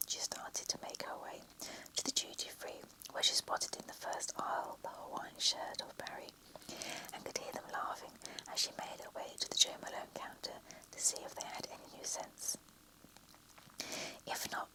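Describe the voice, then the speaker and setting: A reader whispering a romantic story in a soft, unvoiced hush, with small slips and self-corrections. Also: normal speed, rough recording. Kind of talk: whispered story reading, ASMR.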